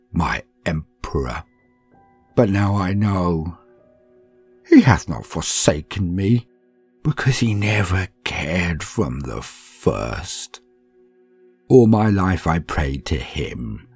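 A man reads as a tormented man, despairing and bitter about the faith he has lost. His voice is deep and husky, and he laments slowly in a heavy tone. Faint music plays underneath. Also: a little mellow, exaggerated voice. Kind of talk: dramatic audiobook reading.

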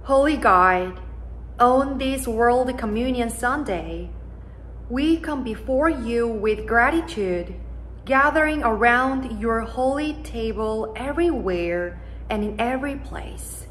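A young woman, a native Korean speaker, leading prayer as an associate pastor in a church sanctuary, speaking slowly and solemnly from a pulpit. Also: a little high, animated, fairly bright tone, slight background noise. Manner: slow, solemn and measured.

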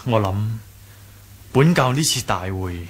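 An actor playing an earnest, courteous young sect leader in a Cantonese radio drama, speaking in a measured, polite way with a Hong Kong accent. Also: male voice, lowish voice, animated, husky, exaggerated voice.

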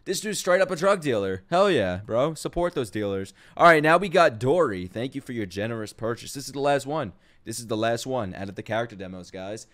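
A man doing casual live-stream reaction commentary to game trailers, excitable, slangy and profane. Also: medium pitch, a little fast. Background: game trailer music.

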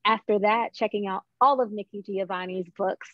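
A woman's voice: medium pitch, fairly even intonation, normal speed, no room sound. Young woman, guest writer, reflective storyteller, thoughtful phrasing, dry and slightly dull call audio. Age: young adult. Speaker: guest playwright and poet. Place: small room at home, on a video call.

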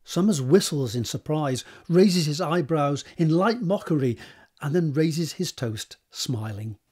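A man serves as narrator, reading scripted stage directions. His voice is fairly high for a man and has a breathy, husky grain. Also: expressive, a little slow, a little mellow.

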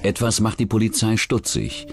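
A man narrating a scripted true-crime documentary in a measured, matter-of-fact manner. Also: lowish voice, gravelly, noisy background. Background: background music.

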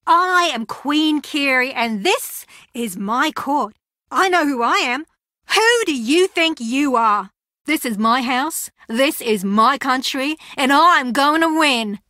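A young woman competing on a reality show in a video game, boastful and theatrical. Her voice is fairly high and very bright, with a sassy, combative edge. The sound is dry. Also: expressive, slow pace, no echo, exaggerated voice. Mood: haughty, defiant.

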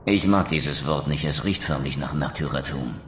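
An actor playing a bitter, solemn undead wraith in a video game, speaking in a grave, formal, theatrical way. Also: male voice, rumbling low, normal speed, slight background noise. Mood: wary, sombre. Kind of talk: dramatic scripted game dialogue and narration.

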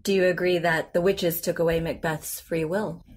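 A composed woman therapist in an audio drama. Her voice sits low and is a little husky. She questions carefully and patiently, with professional, measured phrasing. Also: steady tone. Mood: gently probing. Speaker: actor playing a therapist. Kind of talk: naturalistic audio drama dialogue.

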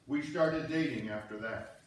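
A man's voice: mid-range voice, a little slow, lightly acted. A man playing a plain-spoken, admiring husband in an amateur reader's theater, recorded from afar in a church. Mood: fond, matter-of-fact. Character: plain-spoken husband Murph, former broker, admiring his wife. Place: on stage in a church, far camera. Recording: very echoey hall, distant mic, noisy.

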